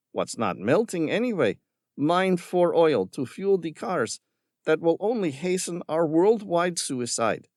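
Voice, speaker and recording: A man's voice: fairly high pitch, no echo, studio quality, pitched above the performer's own voice. A man voicing a greedy, sarcastic male villain in an audiobook, with a patronizing, mocking manner and an affected Spanish-tinged accent. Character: sneering, greedy male villain caretaker with gun. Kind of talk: audiobook character voice.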